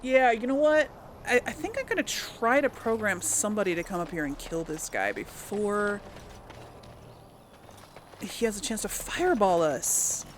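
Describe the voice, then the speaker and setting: A woman's voice: mid-range voice, animated, moderate pace, a little hiss or hum. A woman giving casual video game commentary, thinking aloud in an exasperated way. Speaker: host playing a video game. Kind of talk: casual let's play commentary.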